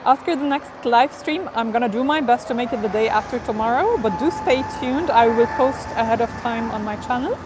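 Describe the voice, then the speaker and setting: A cheerful woman with a fairly high voice hosts a live stream from outdoors near an airport. She chats casually with viewers in accented English and laughs often. Outdoor ambience and traffic noise run clearly behind her. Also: a little fast, hardly any pauses. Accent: non-native English, neither Portuguese nor Spanish. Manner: chatty, upbeat, laughs often. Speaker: livestream host.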